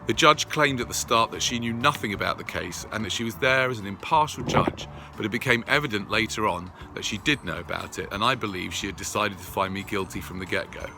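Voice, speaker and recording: A man earnestly reading out a prepared personal update to his followers in a deliberate way. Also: lowish voice, creaky, over music. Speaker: video creator addressing followers.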